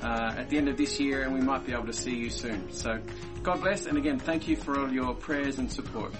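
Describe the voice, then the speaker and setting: Man, missionary update, halting speech, clear guitar music underneath. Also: mid-range voice. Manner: warm, halting with fillers. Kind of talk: recorded update video.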